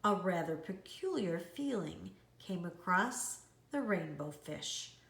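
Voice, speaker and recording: A woman reading a children's storybook aloud as an expressive narrator. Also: on the low side, slow, vocal fry. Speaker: storybook reader. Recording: roomy, echoey indoor sound.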